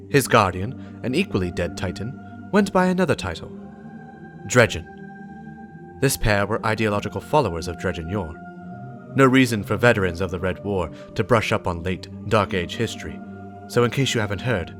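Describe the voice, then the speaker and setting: A man dramatically reading as a grave, suspicious warlock investigator from game lore, solemn, measured and cautionary. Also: on the low side, natural voice. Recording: music behind the voice. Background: game soundtrack music.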